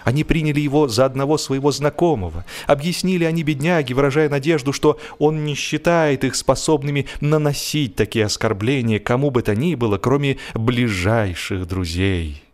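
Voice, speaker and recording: A man reads a humorous story as an audiobook narrator, in an expressive, animated storytelling manner. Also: medium pitch, normal speed, hardly any pauses.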